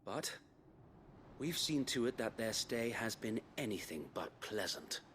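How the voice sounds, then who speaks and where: An actor playing an older, loyal knight who leads a resistance band, speaking in a formal, old-fashioned way. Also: male voice, on the low side, sing-song, a little slow, fairly bright tone, a little hiss or hum. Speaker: resistance leader. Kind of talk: video game cutscene acting.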